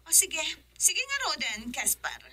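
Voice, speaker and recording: A boss's wife in an Ilocano radio drama, talking to her men as their madam. Her voice is high and piercingly bright, with big swings in pitch and an Ilocano accent. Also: wildly swooping pitch, a little slow, full character voice. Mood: concerned.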